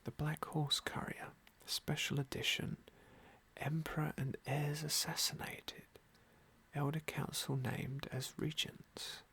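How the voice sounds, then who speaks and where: Soft whispery guy doing asmr game playthrough, bit creaky, gentle and musing. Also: on the high side, flat delivery, slow pace. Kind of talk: whispered ASMR roleplay commentary.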